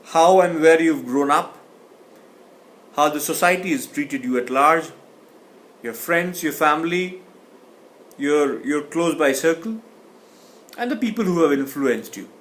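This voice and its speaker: A man's voice: a little high, unhurried, pauses often. Man giving a thoughtful lecture. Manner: thoughtful, with frequent restarts and repeated words. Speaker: communication teacher. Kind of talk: explanatory lecture.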